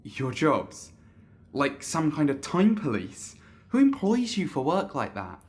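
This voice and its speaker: A man's voice: on the high side, huge pitch swings, slow, darkish tone, full character voice. A young male actor in an audio drama playing an inquisitive, eager would-be manager of influencers, casual and questioning.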